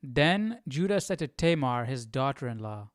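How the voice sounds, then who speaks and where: A man's voice: mid-range voice, sing-song, moderate pace, dry recording, clean studio sound. A man hosting a casual live Bible study, reading and puzzling aloud as he repeats phrases.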